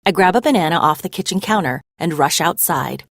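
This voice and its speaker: A woman reads a children's story aloud in an expressive, measured style. Her voice sits on the low side and moves through lively swings of pitch. The recording is close and dry. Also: dry recording. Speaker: read-aloud narrator.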